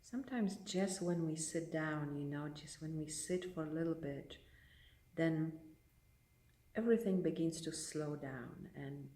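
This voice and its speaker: Mellow woman guiding meditation, roomy sound. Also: low voice. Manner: unhurried, gentle pacing with long pauses. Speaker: meditation teacher leading a session. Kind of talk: guided meditation. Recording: roomy echo, distant microphone.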